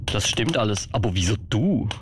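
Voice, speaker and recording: A man's voice: fairly low pitch, animated, moderate pace, natural voice. Kindly, easily flustered old Santa with Swiss German accent played by a man, amateur radio play, slight background noise and clicks. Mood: doubtful, touched.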